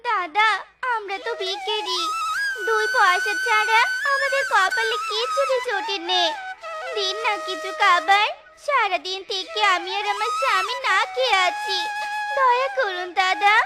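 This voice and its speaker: Someone voicing a very high-pitched, humble beggar wife. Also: animated, measured pace, loud music behind the voice. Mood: pleading. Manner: pleading, coaxing, folksy. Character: poor beggar wife, devoted, humble and resigned. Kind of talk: melodramatic cartoon story dialogue.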